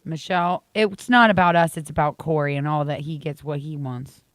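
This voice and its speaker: A young woman co-hosts a morning radio show with a voice gone slightly raspy. She teases and fires back quick retorts in casual banter. The studio sound is dry and close. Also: sits low, steady tone, dry recording. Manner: playful, teasing, quick retorts. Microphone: studio microphone.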